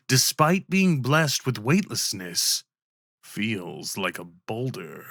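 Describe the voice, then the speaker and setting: A man narrates a fantasy tale for an ASMR roleplay. His delivery is very unhurried and deliberate, at a medium pitch. The sound is dry, with no room around it. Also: a bit bright, dry recording.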